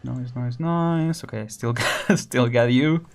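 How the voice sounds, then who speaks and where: A man's voice: mid-range voice, slow pace, gravelly, a little hiss or hum. A young man casually commenting while streaming a game, chatty and laughing often, counting down as he plays. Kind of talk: casual live gameplay commentary.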